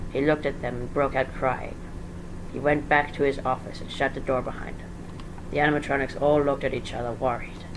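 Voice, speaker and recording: Casting: amateur story narrator, middle-pitched, informal, prone to stumbles and self-corrections, slightly noisy recording. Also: little pitch movement, brisk. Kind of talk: amateur dramatic story reading. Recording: faint background noise.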